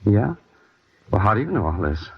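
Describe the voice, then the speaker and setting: An actor playing an earnest, wary young ex-convict in an old-time radio drama, plain-spoken and slangy. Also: male voice, fairly low pitch, sing-song, normal speed, breathy edge, dry recording, heavily acted. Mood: concerned. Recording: dull vintage radio drama recording, music.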